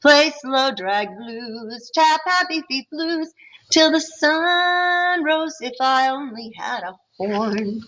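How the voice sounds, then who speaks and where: Brief: hopeful boy dreaming of a horn, slow sing-song, high and bright, voiced by a woman. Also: rough recording. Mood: wistful.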